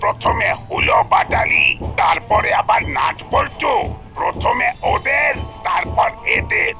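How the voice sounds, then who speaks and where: Irascible cartoon police boss, wildly swooping and heavily gravelly, scolding over music. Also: male voice, very high voice, big pitch swings, unhurried, lo-fi sound, heavily acted. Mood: angry. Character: irascible, blustering male police chief. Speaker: police officer character. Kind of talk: exaggerated cartoon voice acting. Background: loud music.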